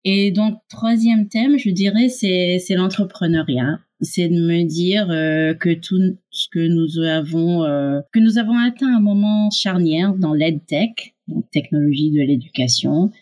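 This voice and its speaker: A woman's voice: lowish voice, a little slow, few pauses, dark tone. A middle-aged woman with a North American accent, a startup co-founder speaking as an interview guest and explaining at length with frequent fillers. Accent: North American English. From Canada and USA. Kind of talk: podcast interview.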